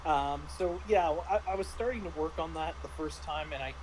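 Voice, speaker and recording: This is a man with a somewhat high-pitched voice. He talks casually, like a game master looking back on a session, and he rambles and halts as he explains. A faint layer of noise sits behind him. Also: fairly quick, darkish tone, low-quality recording. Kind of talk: casual discussion and review of a roleplaying game.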